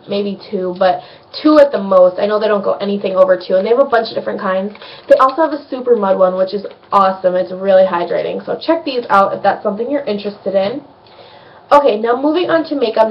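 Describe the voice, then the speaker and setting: A woman's voice: fairly high pitch, far from the mic, highs cut off. A young woman with a slight cold, chatting casually through a skincare vlog and rambling with plenty of ums. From United States. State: sniffly from a cold. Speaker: beauty vlogger.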